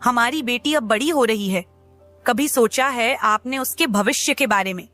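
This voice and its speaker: A woman's voice: on the high side, moderate pace, fairly bright tone, faint music behind, natural voice. A woman voicing a worried village wife and mother in a cartoon, insistent and reproachful. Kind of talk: Hindi cartoon story dialogue.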